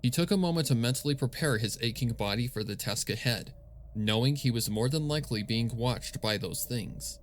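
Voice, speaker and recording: A man narrating scripted fan fiction as a storyteller, measured and solemn in his delivery. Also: mid-range voice, a little hiss or hum.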